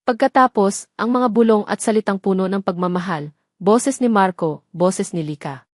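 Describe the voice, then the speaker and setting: A woman's voice: medium pitch, moderate pace, dry recording, clean studio sound. A woman narrating a scripted dramatic audio story.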